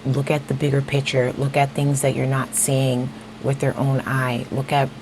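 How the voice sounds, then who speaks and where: A woman giving a casual tarot reading straight to her viewers, a little hoarse as she is losing her voice, often checking in with "okay?". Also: rumbling low, monotone, normal speed, noisy background. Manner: talks straight to viewers, repeats "okay?" and "you know". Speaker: tarot reader.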